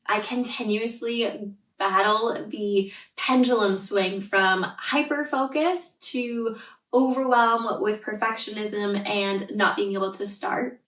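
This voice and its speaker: A woman's voice: mid-range voice, fairly bright tone, reverberant, recorded from a distance, poor audio. A Canadian woman in her thirties hosting a solo podcast, speaking in an earnest, reassuring and emphatic way. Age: 30s. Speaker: podcast host, tutor and coach. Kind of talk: scripted solo podcast intro.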